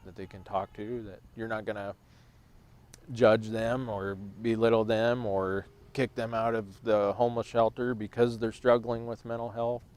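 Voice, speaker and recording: A man in his thirties who works at a rescue mission, speaking reflectively and in a measured way during a casual talk outdoors. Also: on the low side, flat delivery, a little mellow. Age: 30s. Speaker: rescue mission staff member. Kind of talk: casual conversation on a livestream. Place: outdoors on a bench.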